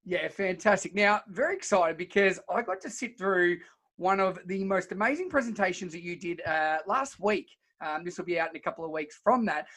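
A man's voice: high voice, expressive, a little fast, pushed and bright. A man hosting a podcast, speaking in an enthusiastic, effusive and conversational way. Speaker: podcast host. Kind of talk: upbeat conversational podcast interview.

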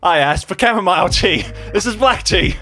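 A man's voice: sits high, animated, moderate pace, sharp tone, soft background music, pitched above the performer's own voice. Man playing stubborn, stiffly courteous fellow, very swoopy. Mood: indignant. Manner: theatrical, emphatic, stiffly courteous. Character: Ernest, indignant, stubborn man forcing polite small talk.

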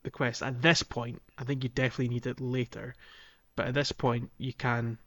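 A man with a mid-pitched voice chats as a podcast co-host about a game playthrough. He explains things step by step in a casual way, pausing and hesitating as he gathers his thoughts. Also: little pitch movement, a bit bright.

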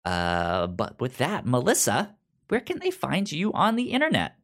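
A man's voice: medium pitch, wildly swooping pitch, unhurried. Stuttering man hosting a casual podcast. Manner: stutters, repeating sounds and syllables. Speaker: podcast host.

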